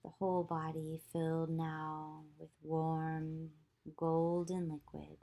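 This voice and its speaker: Very slow, low-voiced woman guiding meditation. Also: completely flat delivery, warm tone. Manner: slow, soothing, spaced instructions. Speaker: yoga teacher. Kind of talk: guided visualization meditation.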